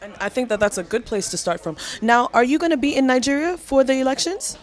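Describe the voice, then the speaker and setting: A woman's voice: high-pitched, fast talker. A woman conducting a conversational interview, speaking in an encouraging, complimentary way to her guest.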